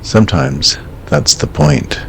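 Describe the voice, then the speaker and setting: A man acting as a calm, cryptic late-night radio host in an audio drama, measured, terse and quietly ominous. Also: lowish voice, creaky, slight background noise, full character voice. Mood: calm, probing.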